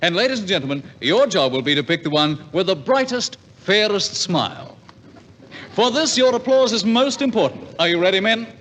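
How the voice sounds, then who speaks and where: A man's voice: high-pitched, lively intonation, moderate pace, fairly bright tone. A man announcing on 1950s radio with the emphatic, polished manner of a salesman. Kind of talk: 1950s radio sponsor pitch and contest announcing. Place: large hall before a live audience. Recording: 1950s radio, echoey hall, faint noise.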